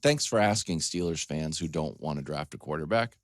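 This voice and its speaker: A man's voice: a bass voice, expressive, fairly quick, no echo, clean studio sound. A man hosting a sports talk show, delivering a comedic, conversational monologue with emphatic lists, joking asides and acted-out questions.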